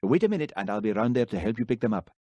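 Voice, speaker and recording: A man's voice: lowish voice, very animated, racing, dark tone, no room sound, voice pushed up. A man voicing a courteous, mildly formal shopkeeper in an audiobook. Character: polite male shopkeeper, courteous and helpful. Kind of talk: audiobook character voice.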